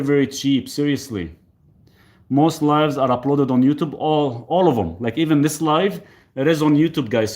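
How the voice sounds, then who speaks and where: Easygoing man from Lebanon on a livestream. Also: mid-range voice. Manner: relaxed, rambling, reads comments aloud then answers. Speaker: live stream host answering health questions. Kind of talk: casual live chat reading viewer questions. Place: rural property, country home.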